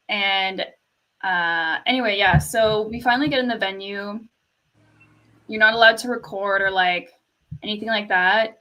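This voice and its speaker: Soft-spoken young woman, bright voice. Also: medium pitch, poor audio. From Canada. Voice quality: fairly quiet speaking voice. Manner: storytelling, laughs often, says "like" a lot. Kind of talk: casual chat.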